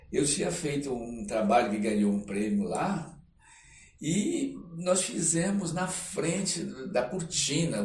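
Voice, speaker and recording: Older man, Brazilian accent, halting storyteller, repeated phrases, distant from mic, faint noise. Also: mid-range voice, a little mellow, reverberant. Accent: Brazilian Portuguese. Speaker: podcast guest, stage performer. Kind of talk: conversational storytelling interview.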